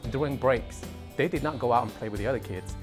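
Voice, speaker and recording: A man's voice: mid-range voice, fast, music in the background. A young man from Cambodia speaking earnestly in an interview, with slightly uneven grammar. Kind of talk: personal interview.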